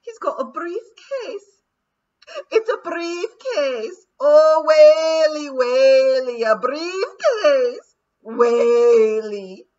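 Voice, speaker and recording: A woman voicing a terrified little male pixie with a put-on Scottish accent, wailing high and drawn-out. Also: expressive, darkish tone, exaggerated voice. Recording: dull lo-fi recording.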